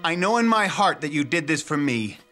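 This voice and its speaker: A man playing a mild, content father in a cartoon, measured and affectionate. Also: on the high side, big pitch swings. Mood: pleased. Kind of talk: animated cartoon voice acting, English dub. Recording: loud music behind the voice.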